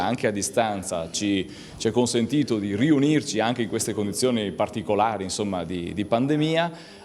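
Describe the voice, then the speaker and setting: A man with a northern Italian Veneto accent making an unscripted public statement in halting short phrases. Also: lowish voice, a little mellow. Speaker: language teacher and academy representative. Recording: reverberant hall.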